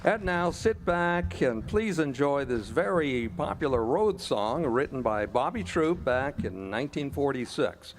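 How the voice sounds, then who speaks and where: A man works as a radio-style announcer and emcee. His mid-pitched voice moves in lively, wide swings as he reads his scripted copy. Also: unhurried, few pauses, a little hiss or hum.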